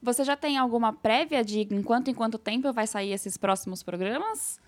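A woman's voice: fairly high pitch, moderate pace. A Brazilian woman hosting a podcast in a conversational, chatty and effusive way. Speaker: podcast host.

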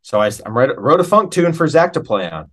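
A middle-aged American man talking casually as an interview guest, telling stories like a working musician, with pauses and emphasis on key words. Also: medium pitch, sing-song, fairly bright tone. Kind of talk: casual conversational storytelling.

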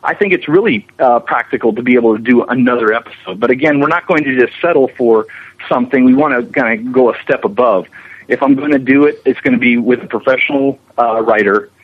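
A man's voice: mid-range voice, quick pace, breathy edge. Guy guest on a podcast interview, rambly and keen, explaining his work, muffled lo-fi audio. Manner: rambling, enthusiastic. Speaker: interview guest, visual effects animator. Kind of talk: casual explanatory interview talk. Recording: dull lo-fi, radio-like remote line.

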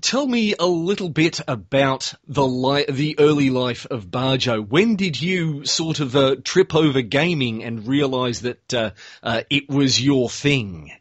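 A man's voice: mid-range voice, animated, a little slow, hardly any pauses, no room sound. Man, dry close sound. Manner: friendly, hesitant questions. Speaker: podcast host. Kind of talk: casual interview.

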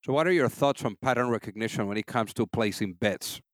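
A man's voice: medium pitch, a little fast, no echo. A man hosting a business interview podcast, asking loose, conversational questions with many fillers. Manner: relaxed, filler-heavy questions. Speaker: podcast host. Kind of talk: casual interview, reads sponsor ad.

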